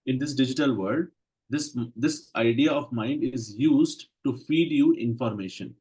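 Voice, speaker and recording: Man, lecturer, husky voice, short phrases, pauses for interpreter. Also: mid-range voice, a little mellow. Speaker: Ayurveda lecturer. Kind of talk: lecture in English, interpreted consecutively.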